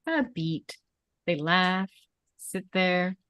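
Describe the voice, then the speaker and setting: A woman's voice: lowish voice, extremely slow, fairly bright tone, no echo, clean studio sound. A woman reading stage directions aloud as scripted narration at a script table read.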